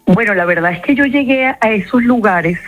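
A woman's voice: on the high side, expressive, a little fast, dry recording, muffled recording, faint music behind. A woman with a Venezuelan accent, a psychologist answering interview questions in a reflective way and repeating key words for emphasis.

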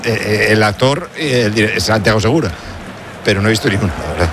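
A man chatting casually on a football broadcast, halting with fillers. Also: lowish voice, expressive, noisy background. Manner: hesitant, many fillers. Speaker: co-commentator. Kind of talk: casual match chat.

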